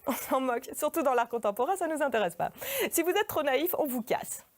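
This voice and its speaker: A woman's voice: high voice, lively intonation, a little fast. A woman playing a condescending, lecturing art-world critic in a satirical stage monologue. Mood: dismissive. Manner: haughty, lecturing, dismissive.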